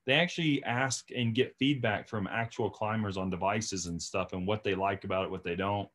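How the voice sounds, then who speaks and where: A man's voice: fairly low pitch, moderate pace, fairly bright tone. A man hosting a podcast in a casual, chatty style, talking emphatically and often checking in with "right?" and "you know". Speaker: podcast host.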